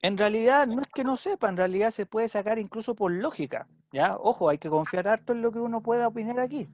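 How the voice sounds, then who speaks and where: A man's voice: high voice, fast talker, no echo, dull audio. A male teacher with a Chilean Spanish accent giving an online civics class, chatty and checking in often with his students. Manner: conversational, checks in with frequent "¿ya?".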